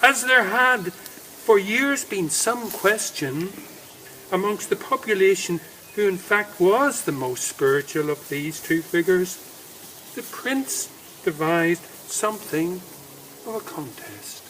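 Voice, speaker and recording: A man reading a story aloud outdoors in a dramatic, deliberate manner, with emphatic phrasing and pauses. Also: high voice, lively intonation, bright, forceful tone, poor audio. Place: outdoors in woodland. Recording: faint outdoor background noise. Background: faint woodland ambience.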